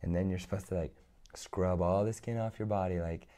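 A young man in his twenties, hoarse from being sick, chatting easygoing as an interview guest on a lapel mic in a large indoor studio. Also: low voice, fairly even intonation, fairly quick, mellow. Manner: easygoing, many fillers and restarts. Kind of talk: casual interview chat.

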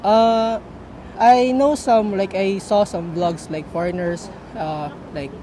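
A young man, answering street interview questions haltingly and searching for words. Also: sits high, mellow, slight background noise. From Philippines.

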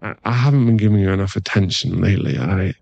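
A man playing an affectionate, teasing werewolf boyfriend in an intimate ASMR roleplay, speaking in a soft, coaxing, halting way with a husky, gravelly voice. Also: deep voice, no echo, lo-fi sound. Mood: apologetic. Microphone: close microphone.

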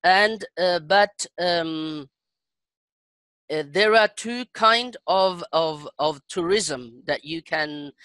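Halting Chilean man, slow speech. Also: on the high side, sharp tone, clean tone, no room sound. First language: Spanish. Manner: halting, searching for words, repeats phrases. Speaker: English learner. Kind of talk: casual conversation practice. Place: indoor room on a video call. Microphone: headset.

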